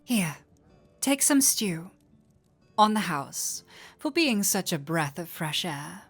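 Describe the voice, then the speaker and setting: A woman's voice: fairly low pitch, big pitch swings, slow, soft background music, full character voice. A woman playing a flirty, teasing tavern innkeeper in an intimate fantasy audio roleplay, with a coy, playful manner.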